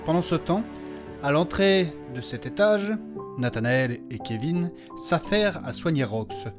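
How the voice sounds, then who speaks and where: A man's voice: a little high, animated, a little slow. A man narrating a fantasy audio story in a dramatic, scripted style. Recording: music under the voice.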